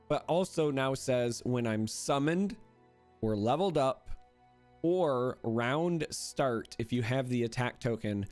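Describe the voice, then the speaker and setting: A man's voice: medium pitch, darkish tone. A young man losing his voice, casually reviewing a new game card with jokes and enthusiasm. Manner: enthusiastic, joking, explanatory. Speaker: card game content creator.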